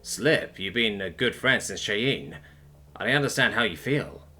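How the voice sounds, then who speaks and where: A man voicing a kind young male soldier in an audiobook, speaking in a soothing, measured way with gentle concern. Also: on the low side, expressive, full character voice. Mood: sincere.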